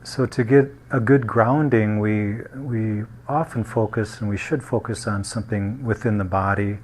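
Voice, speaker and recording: A man guiding a meditation in a gentle, unhurried manner, leaving long pauses between short phrases. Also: fairly low pitch, a little hiss or hum. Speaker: meditation guide. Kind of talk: guided meditation.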